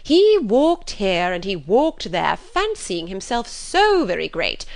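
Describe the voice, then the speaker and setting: A woman reading aloud, voicing an excitable, gushing middle-aged mother who talks in high, emphatic bursts. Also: normal speed. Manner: breathless, effusive, high and emphatic.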